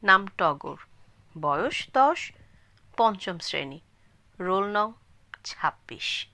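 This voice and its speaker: An earnest, shy village boy of ten from a spoken story, voiced by a woman narrator. He speaks simply and literally, as if reciting, in a bright voice at a slow pace. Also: mid-range voice, very animated, no room sound, exaggerated voice.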